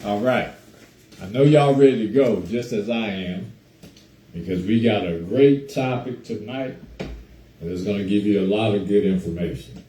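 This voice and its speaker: A man hosting a casual talk show on a group call, hoarse from a cold, chatting easily and greeting listeners by name. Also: fairly low pitch, moderate pace, mellow, roomy sound, recorded from a distance, a little hiss or hum. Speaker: host.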